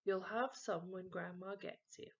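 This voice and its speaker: Woman reader as a brisk, scolding storybook mum. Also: fairly low pitch, steady tone, dull audio. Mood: brisk, firm. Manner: firm, bossy, reproving. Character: brisk scolding mum of two young boys.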